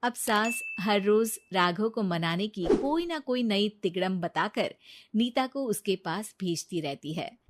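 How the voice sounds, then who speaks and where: A woman's voice: mid-range voice, animated, slow pace. A woman narrating a scripted moral story as a storyteller.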